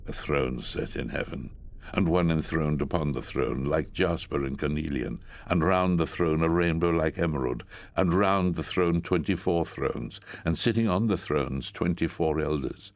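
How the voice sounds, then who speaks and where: A man's voice: normal speed, no room sound. An older man playing an awestruck prophet in a dramatic scripture reading, grave and declamatory in delivery. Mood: solemn awe. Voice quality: deep, gravelly. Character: John, awestruck prophet narrating his heavenly visions. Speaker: voice actor.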